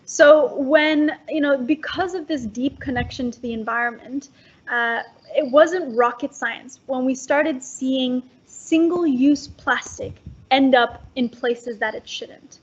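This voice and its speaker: Rich: A teenage girl from Indonesia with a high, breathy voice answers eagerly, dropping in little ums as she goes. She speaks from home late at night, and the call audio is dull and lo-fi. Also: slow. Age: teens. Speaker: guest. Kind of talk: casual interview answers.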